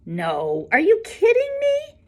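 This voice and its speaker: A woman voicing a snooty, scornful schoolgirl bully with a sharp, disdainful manner in a storybook reading. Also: very high-pitched, wildly swooping pitch, slow, pitched above the performer's own voice, heavily acted.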